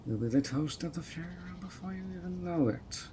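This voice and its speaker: A man's voice: fairly high pitch, animated, a little fast, mellow, a little hiss or hum, close to an everyday voice. A man voicing a handsome, obsessive male fairy who coaxes and dotes in a possessive way, in an audio roleplay. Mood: pleading. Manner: coaxing and doting, turning controlling.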